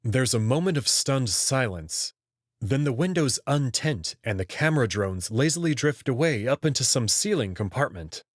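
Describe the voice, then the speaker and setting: A man giving a measured, scripted first-person narration as he reads a visual novel. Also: mid-range voice, lively intonation, dry recording, studio quality. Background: prominent music.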